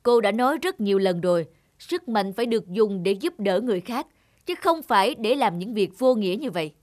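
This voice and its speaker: A woman's voice: mid-range voice, animated, fairly quick, darkish tone, close to an everyday voice. A woman playing a stern, admonishing witch teacher in a children's cartoon. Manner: firm, admonishing. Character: stern adult witch teacher, disappointed but caring. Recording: music under the voice.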